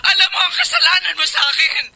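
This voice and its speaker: A woman's voice: very high-pitched, very animated, quick pace, gravelly, full character voice. An actress with a Filipino accent playing a wronged, emotional woman in a love triangle in a radio drama. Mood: furious, tearful.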